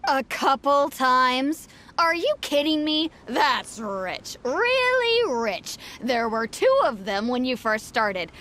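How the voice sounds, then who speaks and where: A woman's voice: fairly high pitch, expressive, unhurried, hardly any pauses, a bit bright. An actress playing an indignant, sarcastic village farmer woman in a video game. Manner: exasperated, sarcastic.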